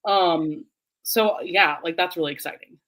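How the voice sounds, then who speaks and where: A woman's voice: on the low side, measured pace, fairly bright tone, low-quality recording. A woman co-hosting a casual book chat, talking in an enthusiastic, emphatic way. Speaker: book readalong co-host.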